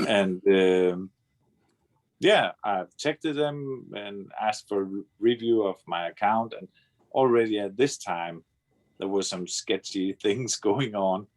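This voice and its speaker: A man from Denmark speaking English with a Danish accent, his native language being Danish, telling his story haltingly on a video call as a guest. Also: on the low side. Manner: hesitant, many fillers, chuckles now and then. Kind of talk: casual video call interview.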